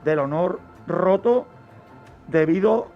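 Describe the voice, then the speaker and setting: A slow-speaking man narrates true crime as a radio criminologist. His voice sits high for a man, and he builds the account with pauses and questions to the listener. The recording is dull and lo-fi. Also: animated, faint music behind. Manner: didactic, dramatic pauses, rhetorical questions. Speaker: criminologist, radio segment contributor.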